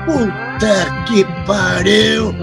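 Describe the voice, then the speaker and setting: Man acting slow-talking, high-pitched grandiose politician. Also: heavily acted. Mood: shocked. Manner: slow, declamatory public speaking. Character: grandiose male politician, pious public benefactor, easily rattled. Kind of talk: audio drama acting. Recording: music under the voice.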